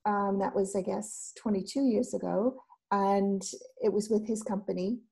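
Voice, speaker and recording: Mellow-voiced Canadian woman, chatty. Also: mid-range voice, normal speed, gravelly, breathy edge. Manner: relaxed, chatty, laughs often, many ums and restarts. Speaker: guest entrepreneur. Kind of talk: casual interview chat.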